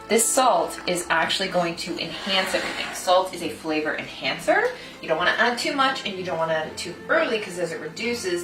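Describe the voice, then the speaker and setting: Echoey woman presenting a cooking demo. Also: lowish voice. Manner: instructional, step-by-step. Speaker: food designer and cooking host. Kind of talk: cooking demonstration to camera. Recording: roomy echo, distant mic, music behind.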